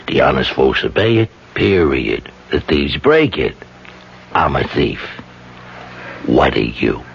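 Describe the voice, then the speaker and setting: A man's voice: a bass voice, big pitch swings, slow pace, gravelly, heavily acted. An actor playing a sly, blunt jailed hitman with slangy, taunting speech in a radio drama. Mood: pressing. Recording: muffled, noisy vintage radio recording.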